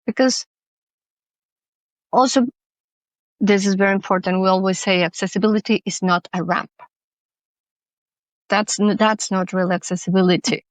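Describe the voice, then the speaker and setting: A woman's voice: medium pitch, steady tone, normal speed, pauses often, dry recording. Earnest woman guest, English, thoughtful, restarts often, dry recording. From Mexico. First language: Spanish. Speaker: guest, accessibility consultant. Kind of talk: podcast interview.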